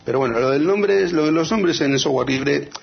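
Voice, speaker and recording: A man co-hosting a radio show about free software, talking in a hesitant, casual way with many fillers and restarts. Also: on the high side, brisk, vocal fry, breathy edge, lo-fi sound, faint music behind.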